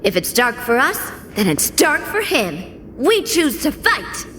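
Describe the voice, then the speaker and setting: An actress voicing a bold, eager young hunter in a video game, spirited and brash. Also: female voice, sits high, sing-song, slow pace, noisy background, full character voice.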